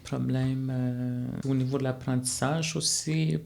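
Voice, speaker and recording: Une femme de langue maternelle atikamekw, à l'québécois, invitée d'une entrevue, qui parle de façon réfléchie avec beaucoup d'hésitations. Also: female voice, a bass voice, fairly even intonation, a little slow, vocal fry, darkish tone, a little hiss or hum. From Quebec. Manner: reflective, frequent fillers and restarts. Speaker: guest, student life facilitator. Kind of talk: conversational podcast interview.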